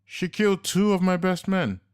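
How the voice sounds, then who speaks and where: A man voicing a slickly dressed, coolly polite and menacing henchman, speaking slow, measured and smug. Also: sits high, animated, smooth, pitched above the performer's own voice. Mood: smooth.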